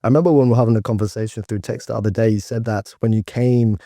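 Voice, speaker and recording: A man's voice: lowish voice, fairly even intonation, rapid-fire, dark tone, no echo, studio quality. A man co-hosting a podcast interview, upbeat and encouraging as he questions his guest. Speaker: podcast co-host.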